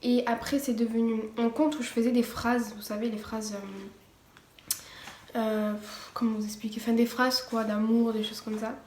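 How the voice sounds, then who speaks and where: A woman's voice: fairly high pitch, level tone, moderate pace, darkish tone. A teenage girl giving a casual personal testimony, partly read from notes, hesitant with many fillers and restarts. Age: teens. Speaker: vlogger. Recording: echoey room, faint background noise.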